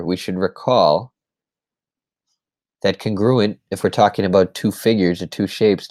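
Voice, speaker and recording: Male math teacher, low register, a little scratchy today, patient walkthrough delivery, dry close sound. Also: darkish tone, no echo. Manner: patient step-by-step explaining. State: slightly hoarse. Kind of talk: screen-recorded lesson recap.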